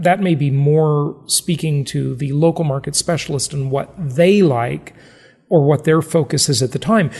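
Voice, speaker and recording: A male podcast host with a fairly high voice that often breaks into gravelly fry. He talks casually and conversationally, and his voice carries a long reverb. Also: a little mellow.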